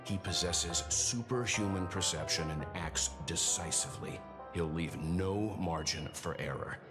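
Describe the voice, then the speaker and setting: Game role: grave male strategist, deep register, measured and composed, heavy music underneath. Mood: grave warning. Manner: measured, serious, deliberate. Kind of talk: scripted game dialogue. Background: game soundtrack music.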